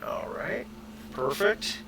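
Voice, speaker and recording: A man's voice: takes it slowly, background noise. A man chatting casually as he runs an online tabletop role-playing game over a voice call. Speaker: game master.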